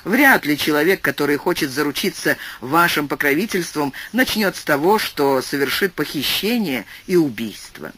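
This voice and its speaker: A man voicing a wise, patient young nobleman who speaks in calm, reasoned pleas, read within a storybook. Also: fairly high pitch, normal speed, few pauses, breathy edge, no room sound, exaggerated voice.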